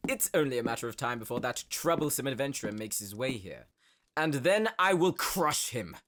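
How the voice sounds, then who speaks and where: A man acts out a pompous sorcerer villain of noble birth for an audio drama. His mid-pitched delivery is highly animated, theatrical and gloating, with menace under the grandeur. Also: moderate pace, background noise, close to an everyday voice. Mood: gloating, menacing. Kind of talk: audio roleplay acting.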